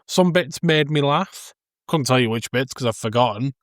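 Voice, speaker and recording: A man's voice: mid-range voice, expressive, normal speed, no echo, studio quality. A man in his thirties co-hosting a podcast, casually discussing film in hesitant, stop-start phrases. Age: 30s. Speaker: podcast co-host.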